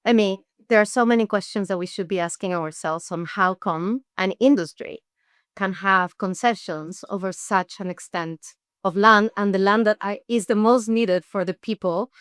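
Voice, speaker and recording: A woman from Peru, an NGO research head, explaining things in an interview with measured, rhetorical questions. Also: mid-range voice, smooth, dry recording, clean studio sound.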